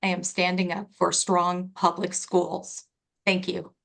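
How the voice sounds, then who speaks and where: A woman school board candidate giving a crisp, confident, rehearsed opening statement. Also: lowish voice, little pitch movement, takes it slowly, fairly bright tone.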